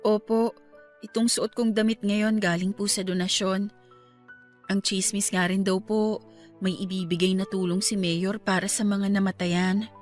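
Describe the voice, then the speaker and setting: A woman's voice: medium pitch, little pitch movement, a little slow, natural voice. A woman acting a respectful, caring young student who is in mourning, in a naturalistic Tagalog radio drama. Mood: wistful. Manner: polite, deferential to her elder. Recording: music bed under the voice. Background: background music.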